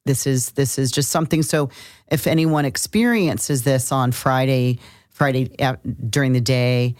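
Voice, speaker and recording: A woman's voice: extremely low pitch, measured pace, a little mellow, no echo, clean studio sound. A woman co-hosting an astrology podcast, chatting casually and emphatically in short bursts. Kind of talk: casual conversational astrology talk.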